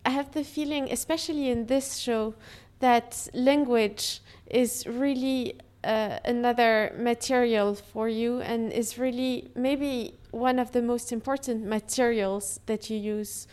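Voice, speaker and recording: A woman's voice: fairly high pitch, fairly even intonation, unhurried, hardly any pauses, darkish tone. A woman interviewing an artist, asking long, carefully built questions.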